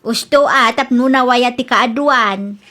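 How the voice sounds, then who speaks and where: A woman's voice: fairly high pitch, measured pace, bright, forceful tone. A woman voicing an older mother who runs a rice store, in a radio drama. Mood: worried. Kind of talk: Ilocano radio drama acting.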